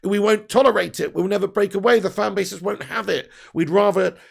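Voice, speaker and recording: A young man hosting a football fan live stream, reading out viewer comments in a heated, sweary rant, his voice croaky and tired. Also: sits high, a little fast. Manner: heated, sweary ranting with laughs. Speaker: football fan channel host.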